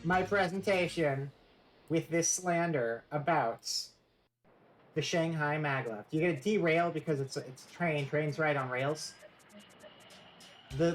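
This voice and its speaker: A woman with a notably low voice hosts a casual live stream, presenting in a rambling, joking way. She keeps a playfully mock-serious tone throughout, and soft music plays faintly behind her.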